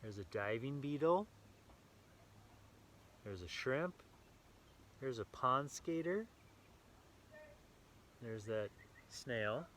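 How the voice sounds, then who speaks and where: Guy reading a kids book out loud, super expressive, chatty asides, bit of background noise. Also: mid-range voice, very slow, long pauses. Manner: animated, enthusiastic. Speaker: children's storyteller and host. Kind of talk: expressive storybook read-aloud with casual asides to children.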